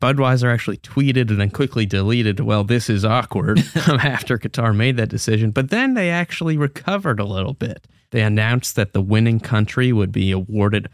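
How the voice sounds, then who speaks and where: A man's voice: lowish voice, normal speed, few pauses, no echo, studio quality. A young American man co-hosting a podcast with conversational news commentary. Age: young adult. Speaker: podcast co-host.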